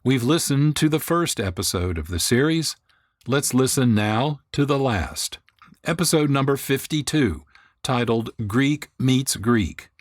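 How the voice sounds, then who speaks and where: A man's voice: mid-range voice, animated, unhurried, dry recording. Dry, close-sounding male host. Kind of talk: scripted narration.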